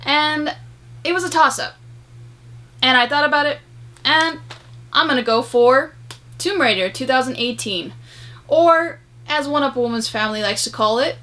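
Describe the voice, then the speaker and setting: A woman's voice: sits high, normal speed, pauses often, bright, forceful tone, slight background noise. A young adult woman giving a casual, emphatic movie review to camera in a room at home. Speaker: video host and game reviewer. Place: small room at home, indoors.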